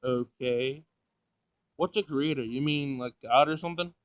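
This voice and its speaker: A man's voice: medium pitch, unhurried, no room sound, lightly acted. Visual novel child, read by a man: young girl, inquisitive and direct, simple childish phrasing. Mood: puzzled, eager. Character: curious, blunt seven-year-old girl.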